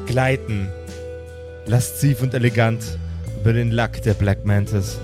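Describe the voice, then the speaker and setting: Slow, deliberate male game master over music. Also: medium pitch, steady tone. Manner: deliberate, drawn-out storytelling. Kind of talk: dramatic improvised pen-and-paper narration.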